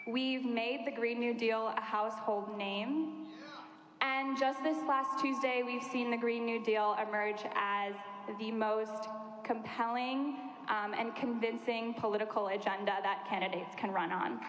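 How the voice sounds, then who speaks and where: Young woman giving an earnest speech at a panel, kinda high voice, echoey. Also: fairly even intonation, a little slow, background noise. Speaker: youth climate organizer. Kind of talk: prepared public remarks. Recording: echoey hall with long reverb.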